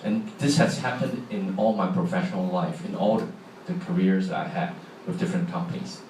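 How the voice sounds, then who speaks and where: A man's voice: low voice, a little fast, darkish tone, a little hiss or hum. A middle-aged man with a Hong Kong accent, giving a chatty public talk and asking the audience questions. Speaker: speaker. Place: large hall. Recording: echoey hall from far off, faint ambience.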